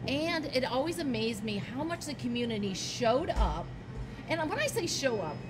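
A woman's voice: on the high side, very animated, normal speed, noisy background. A middle-aged woman guest telling stories with emphasis in a casual chat at a farm shop table. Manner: storytelling, emphatic, laughs. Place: farm market shop, indoors.

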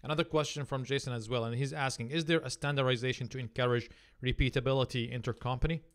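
The courteous thirty-something man who fields the chat questions on an online tech show, his smooth, clean voice close on the mic. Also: mid-range voice, steady tone, fast talker, fairly bright tone. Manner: appreciative, polite. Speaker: livestream host. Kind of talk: hosting, reading out audience comments. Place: home office. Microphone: close podcast microphone.

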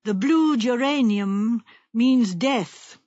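Storybook fortune teller, acted by a woman: ominous, deliberate, slow, raised pitch, mysterious female prophet. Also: darkish tone, no room sound, highs cut off. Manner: slow, portentous. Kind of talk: audiobook character voice.

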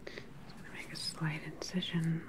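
A young woman playing a calm, clinical evil scientist in an ASMR sci-fi roleplay, speaking softly and soothingly, close to the mic. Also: deep voice, lightly acted. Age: young adult. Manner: soft, soothing, unhurried personal attention. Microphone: close microphone, leaning in near camera.

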